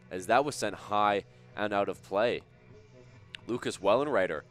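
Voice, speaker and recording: A man calling a youth hockey game play-by-play, his voice a little hoarse and raspy, with brisk, chatty calls that rise sharply on chances and goals, plus joking asides. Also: on the low side, fairly bright tone. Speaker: play-by-play commentator. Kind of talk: live hockey play-by-play commentary.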